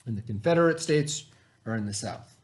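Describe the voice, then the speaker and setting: A man's voice: medium pitch, expressive, brisk. A man reading a lesson aloud as a teacher, explaining as he goes and checking understanding with simple questions.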